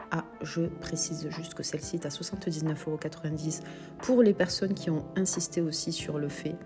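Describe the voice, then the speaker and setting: A woman with a low voice and a cold talks through her shop's pottery stock. She is chatty and explains the prices and sizes step by step. Music plays clearly behind her. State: has a cold. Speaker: shop owner presenting stock. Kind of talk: product walkthrough video.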